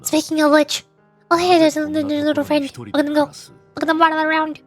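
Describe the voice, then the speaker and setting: A woman's voice: sits high, little pitch movement, a little fast, soft background music. A woman streaming a video game, giving playful, chatty commentary as she goes. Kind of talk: gameplay commentary with live voice-over.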